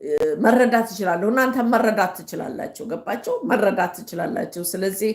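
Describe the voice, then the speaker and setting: An older Ethiopian woman with an Ethiopian accent, hosting a casual livestream. Her first language is Amharic. She speaks halting English full of fillers and slips quickly into Amharic. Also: mid-range voice. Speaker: livestream host. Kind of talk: casual live-stream monologue. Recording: roomy echo.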